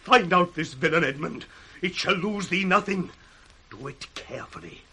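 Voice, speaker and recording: An actor with a British accent playing an aging, credulous, troubled nobleman in a portentous, halting 1960s radio Shakespeare style. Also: high-pitched, creaky, sharp tone, breathy edge, heavily acted. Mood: agitated, grieving. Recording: faintly hissy 1960s radio recording.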